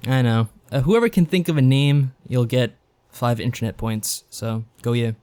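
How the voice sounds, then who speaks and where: A man's voice: mid-range voice, moderate pace, darkish tone, smooth. A man co-hosting a podcast, talking casually and unscripted in an easygoing, agreeable way, as if thinking aloud. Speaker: podcast co-host. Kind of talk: casual unscripted podcast chat about games.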